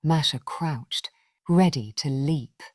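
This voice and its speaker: A woman reading a scripted children's story in an expressive, gentle storybook manner. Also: sits low, slow pace, no room sound, studio quality.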